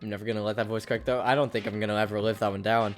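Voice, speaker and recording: A woman's voice: extremely low pitch, fairly even intonation, racing. A woman streamer chatting casually and a bit self-deprecatingly while playing a game. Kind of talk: casual live-stream commentary. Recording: faint game music behind.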